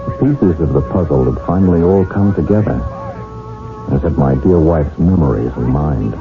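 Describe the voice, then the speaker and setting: An earnest, solemn husband in a 1970s radio drama. His voice is very low and his delivery insistent and dramatic. The sound is narrow and tinny, like an old broadcast. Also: animated, moderate pace, over music. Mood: tender, reflective. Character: earnest husband demanding the truth, narrating his past. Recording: tinny, band-limited 1970s radio recording.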